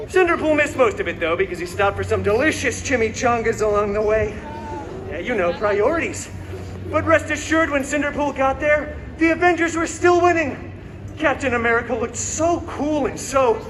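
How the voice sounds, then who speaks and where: A man playing a cocky, wisecracking comic-book antihero, telling a fairy tale theatrically to a live audience with sarcastic asides. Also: very high voice. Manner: sarcastic, self-mocking asides. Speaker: costumed character performer hosting live story show. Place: outdoor theme park show, filmed from audience. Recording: noisy outdoor crowd recording of live show.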